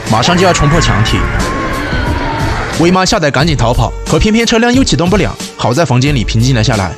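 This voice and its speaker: A man reading a scripted film recap as narrator. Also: mid-range voice.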